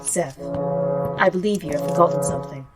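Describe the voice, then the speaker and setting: A low female A.I. voice, measured and exact, never bending from protocol. It speaks in formal full phrases over loud music and alarms in a sci-fi horror drama. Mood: firm, unyielding. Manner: formal, precise, measured, no contractions. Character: formal, unflappable facility A.I., literal-minded and rule-bound.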